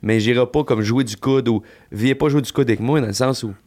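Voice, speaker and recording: A man with a Québécois French accent, a country singer talking as a podcast guest in a relaxed, slangy way full of fillers and the odd swear. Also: mid-range voice, a little fast. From Quebec. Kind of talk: casual podcast chat.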